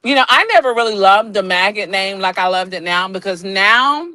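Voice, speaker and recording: A woman's voice: mid-range voice, normal speed, sharp tone, no echo. A woman guest on a livestream, chatting casually and sassily from a truck stop while on the road for work. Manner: sassy, calls people girl and honey. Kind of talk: casual chat.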